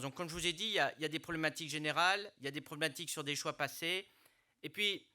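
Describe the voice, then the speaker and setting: A middle-aged man, a mayor, giving a formal New Year speech to hospital staff, earnest and measured, restarting phrases and pressing on key words. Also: on the high side, bright, forceful tone. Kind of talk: formal public speech with hesitations. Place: hospital hall.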